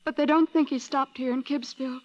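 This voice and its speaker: An actress playing a gentle, lovestruck young small-town woman, soft, hesitant and earnest, in old-time radio style. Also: high voice, steady tone, fairly quick, close to an everyday voice. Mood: hesitant, careful.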